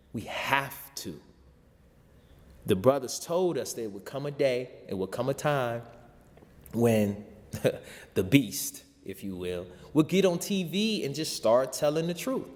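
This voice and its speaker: A man giving an impassioned, sermon-like spiritual talk in informal vernacular, with rhetorical repetition. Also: lowish voice. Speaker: show host and spiritual teacher. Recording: long hall-like reverb.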